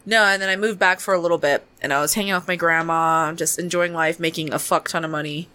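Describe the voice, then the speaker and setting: Bright-voiced woman telling stories with profanity. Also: fairly low pitch, steady tone, fast, clean tone. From born in Hawaii, raised in Albuquerque, New Mexico. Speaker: podcast guest. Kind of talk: casual storytelling chat. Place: home podcast studio.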